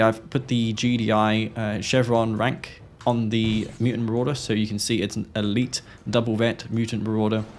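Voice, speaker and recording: A man's voice: on the low side, a little hiss or hum. A man with a sore throat giving a play-by-play commentary of his own strategy game match.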